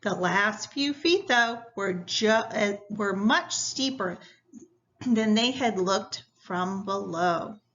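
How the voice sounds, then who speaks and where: A woman's voice: medium pitch, slow, a bit bright, dull audio. A woman reading a story aloud like a teacher, addressing young listeners directly in an instructive way.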